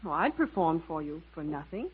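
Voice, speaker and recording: A woman's voice: low voice, sing-song, brisk, slightly rough, phone-quality sound, exaggerated voice. An American woman playing a polite, eager young aspiring singer in a 1950s radio musical. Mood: eager, curious. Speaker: guest star singer.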